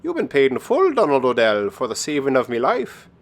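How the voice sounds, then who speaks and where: A man's voice: medium pitch, huge pitch swings, brisk. A man voicing a sly, kindly little leprechaun with a small voice and a lilting Irish accent, as a storybook character. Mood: sly, knowing. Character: wee male leprechaun, sly, kindly and grateful.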